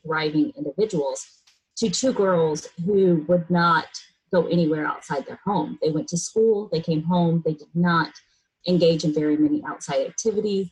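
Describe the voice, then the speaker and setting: American woman, middle-aged, slightly low voice, husky edge, earnest, blunt, conversational. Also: a little mellow, rough recording. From United States, raised in a military family abroad and in Florida. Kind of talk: serious conversational interview.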